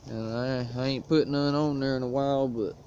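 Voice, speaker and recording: A man's voice: medium pitch, fairly even intonation, normal speed, mellow. A man casually showing his everyday gear in a folksy, laid-back drawl, filmed outdoors in the woods on a propped-up cell phone. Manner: laid-back rural drawl, folksy, coughs now and then. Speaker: outdoors vlogger. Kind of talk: casual show-and-tell vlog. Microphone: cell phone propped on a stick. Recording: dull lo-fi phone audio, faint hiss.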